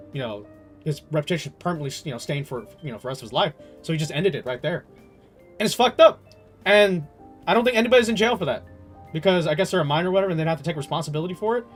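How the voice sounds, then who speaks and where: Young male streamer, slightly high voice, loose off-the-cuff chat with blunt emphasis and swearing, soft music behind. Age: young adult. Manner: rambling, emphatic, profane. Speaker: game streamer. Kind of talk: casual live stream chat.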